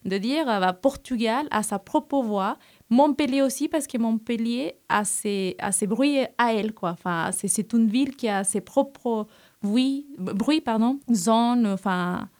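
A woman hosting a radio show, interviewing in a conversational, encouraging way with gentle questions. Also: on the high side, a little slow. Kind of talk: conversational interview with young guests.

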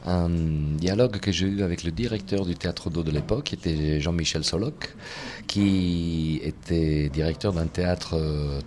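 A man with an Italian accent, an actor interviewed on radio, speaking thoughtfully with pauses. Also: deep voice, steady tone, a little mellow. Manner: thoughtful, pausing mid-sentence. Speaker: actor and playwright, guest.

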